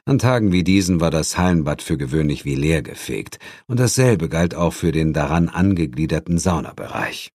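Low-voiced man narrating a wry audiobook. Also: expressive, moderate pace, hardly any pauses, a little mellow, slightly rough, no room sound. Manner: wry, dry irony. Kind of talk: dramatic first-person audiobook narration.

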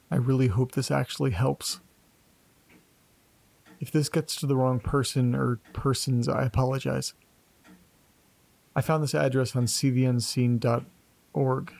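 A man's voice: medium pitch, level tone, slow pace, vocal fry, pauses often, darkish tone. A man playing an exasperated young investigator in an audio drama, talking casually to himself and reading aloud. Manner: casual, sweary, muttering asides.

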